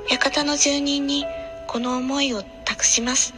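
A woman's voice: sits high, little pitch movement, music in the background. A woman acting an urgent, heartfelt, solemn narrator in an audio drama trailer. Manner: solemn, deliberate, intense.

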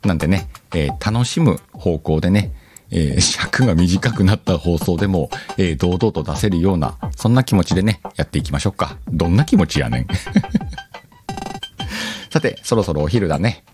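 Low voiced guy doing a chatty radio show, really lively up and down, jokes at himself, music playing behind. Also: moderate pace. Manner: laughs often, talks to himself, self-deprecating banter. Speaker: host of an audio show. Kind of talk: casual chatty solo radio talk, reading listener letters.

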